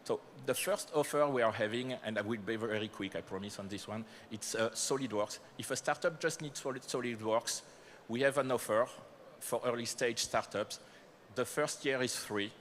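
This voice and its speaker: A man's voice: mid-range voice, moderate pace, a bit bright, a little hiss or hum. A man with a French accent giving a conference talk, speaking with frequent fillers and self-corrections. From France. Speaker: corporate speaker presenting startup programs. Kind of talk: conference presentation. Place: conference hall.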